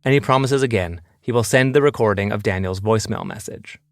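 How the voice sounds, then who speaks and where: A man hosting an investigative podcast, calmly narrating a scripted documentary story. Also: lowish voice, fairly quick. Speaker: podcast host and investigative reporter.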